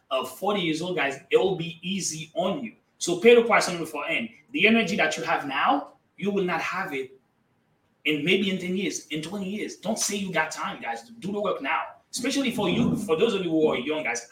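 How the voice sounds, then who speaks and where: A man from Haiti whose first language is Haitian Creole, giving emphatic, energetic motivational answers as a guest in an interview. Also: on the high side, echoey room, recorded from a distance, rough recording. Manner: energetic, emphatic, addresses listeners as guys. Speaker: guest entrepreneur.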